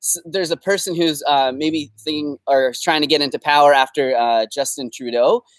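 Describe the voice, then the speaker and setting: Young canadian dude rambling live in his backyard, kinda high voice, dry sound. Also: steady tone, normal speed, dry recording, poor audio. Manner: upbeat, rambling with fillers and laughs. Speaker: host. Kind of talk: casual live Q&A. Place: backyard, outdoors.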